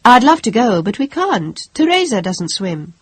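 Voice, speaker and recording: A reader voicing a beautiful, self-assured young woman who talks brightly and eagerly in an audiobook. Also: medium pitch, sing-song, normal speed, slightly rough, dry recording, pitched above the performer's own voice. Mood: breezy.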